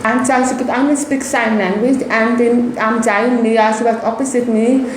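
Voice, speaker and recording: Deaf South African woman, Afrikaans first language, higher voice, earnest radio guest heard over a boomy live line. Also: fairly even intonation, moderate pace. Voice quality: speaks with a deaf speech pattern, hard of hearing. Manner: earnest, with many fillers and restarts. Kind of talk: radio interview, personal testimony. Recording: echoey live feed with background noise.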